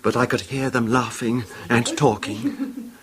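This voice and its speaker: An actor playing a nervy, anguished rich husband making a halting, stammering confession in a 1970s radio horror drama. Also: male voice, medium pitch, sing-song, a crowd in the background. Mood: heartsick, grave. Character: anguished, nervy, wealthy husband recalling his wife's betrayal. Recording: noisy old 1970s radio recording.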